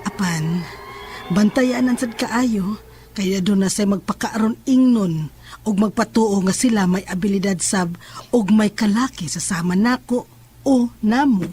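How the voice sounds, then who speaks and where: A woman playing a menacing, self-assured sorceress in a horror audio drama, speaking in a deliberate, sinister way. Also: medium pitch, warm tone, slight background noise, natural voice. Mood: confident, boastful. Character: menacing, self-assured female sorceress who casts curses. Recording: music under the voice.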